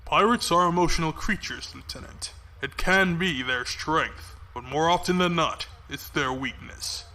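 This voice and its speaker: An actor playing a cold, calculating fleet commodore in a science fiction audio drama, measured, formal and authoritative. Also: male voice, on the high side, pushed and bright. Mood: cold, assured.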